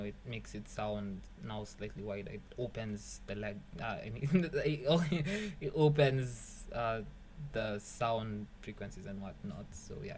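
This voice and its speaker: A young man with a Kenyan accent, a music producer giving a casual, rambling beat-making tutorial, joking and swearing, dropping Swahili phrases. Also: lowish voice. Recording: faint background noise.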